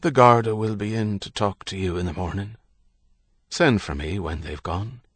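A man's voice: fairly low pitch, moderate pace, breathy edge, dry recording. A man as a solicitous, prying priest. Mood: brisk, accusatory. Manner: gentle, folksy, faintly accusatory. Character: Irish priest, solicitous yet prying and blunt.

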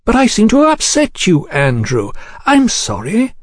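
A man voicing a suave, coolly menacing English gentleman for an audiobook, genteel and elaborately courteous, with an insinuating way of talking. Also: sits high, wildly swooping pitch, moderate pace, dry recording, clean studio sound, voice pushed up, full character voice. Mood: quietly menacing. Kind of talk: audiobook character dialogue.

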